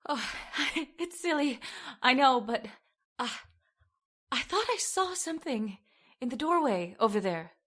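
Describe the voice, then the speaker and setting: A woman's voice: high voice, animated, a little slow, fairly bright tone, exaggerated voice. A woman playing an anxious, frightened young woman in old-time radio horror, hesitant and dramatic.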